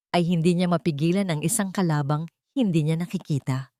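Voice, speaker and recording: A woman's voice: low-pitched, a little mellow, no echo, studio quality. A woman reading a scripted dramatic story as a storyteller.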